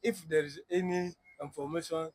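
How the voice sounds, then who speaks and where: A man interpreting between two speakers, halting and restarting his phrases. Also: fairly high pitch, steady tone, darkish tone, dry recording. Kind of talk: interpreting between French and English.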